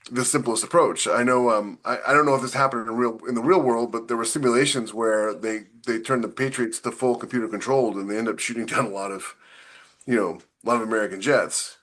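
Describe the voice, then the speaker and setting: A man's voice: mid-range voice, steady tone, quick pace, fairly bright tone. A man on a casual podcast panel, talking in a rambling, conversational way as he works through his point.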